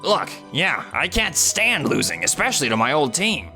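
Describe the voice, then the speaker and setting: A man voicing a frustrated, animated young office salesman. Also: on the high side, moderate pace, faint music behind, full character voice. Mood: indignant protest. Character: frustrated, outspoken, insecure male office salesman, young adult. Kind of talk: English manga dub acting.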